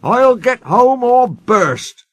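A man's voice: very high voice, big pitch swings, extremely slow, fairly bright tone, dry recording, voice pushed up, exaggerated voice. A man voicing an old steam engine in a children's story, stern, proud and plucky, with effortful delivery. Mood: brave, pleading. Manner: scolding, panting, effortful.

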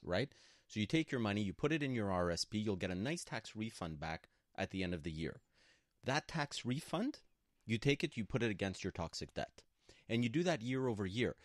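A man's voice: lowish voice, dry recording, studio quality. A man co-hosting a conversational radio advice show, speaking in an emphatic, assertive way and repeating points for stress. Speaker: radio show co-host and broker. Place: radio studio.

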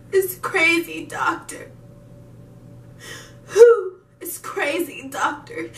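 Casting: actress as unhinged bereaved mother, stage monologue, very slow, emphatic delivery, high voice, roomy sound. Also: heavily acted. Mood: accusing, distraught. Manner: halting, emphatic, swinging between hushed and wailing. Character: grieving, unhinged mother confronting her psychiatrist. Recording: roomy, distant mic, noisy with rumble.

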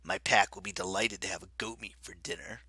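A man voicing a mocking ancient werewolf king, speaking low and quiet with silky menace. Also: steady tone, fairly quick, husky, no echo, studio quality, full character voice. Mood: menacing.

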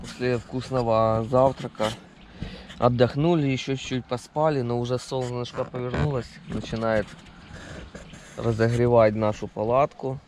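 A man's voice: medium pitch, steady tone, normal speed, background noise. A man narrating a casual camping vlog outdoors, talking to viewers. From Ukraine. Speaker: camping vlogger. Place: outdoors at a forest campsite.

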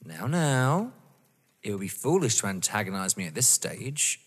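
Casting: male actor as vengeful, taunting young man, audio drama. Mocking, highly animated intonation, mid pitch, reverberant sound. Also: normal speed, breathy edge. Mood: menacing, mocking. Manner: mocking, theatrical, toying. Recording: long reverb.

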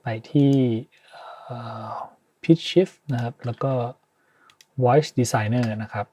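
Husky man hosting a tutorial. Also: mid-range voice, drawn-out words, warm tone. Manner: polite, hesitant, thinking aloud. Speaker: tutorial host, sound designer. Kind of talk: informal software tutorial narration.

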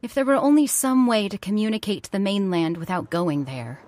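An actress playing an idealistic young factory heiress in a radio horror play, urgent and pleading in a theatrical way. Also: female voice, medium pitch, big pitch swings, brisk, darkish tone, slight background noise, lightly acted. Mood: tense, subdued. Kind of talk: old-time radio horror acting.

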